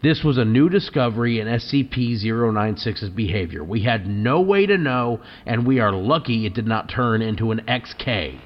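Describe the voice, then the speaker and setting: A man playing a defensive scientist testifying about a disaster in an audio drama, grave and deliberate. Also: medium pitch, expressive, natural voice. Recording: dull lo-fi sound.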